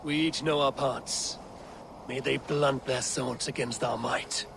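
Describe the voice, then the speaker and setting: An actor playing a fierce, vengeful Norse war leader in a video game, commanding and solemn. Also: male voice, mid-range voice, steady tone, slow, gravelly, background noise. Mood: grim, resolute. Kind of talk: dramatic video game acting.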